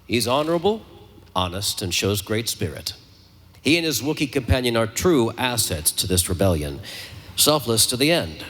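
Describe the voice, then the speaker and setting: An actor playing a formal, earnest resistance leader in a live audio drama, eloquent and measured. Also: male voice, mid-range voice, sing-song, a bit bright. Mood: solemn, sincere.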